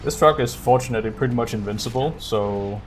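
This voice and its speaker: A man giving casual live commentary on a game as a streamer, explaining things in a low-key way. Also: a little fast, a little hiss or hum, poor audio. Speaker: streamer speedrunner. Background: game music.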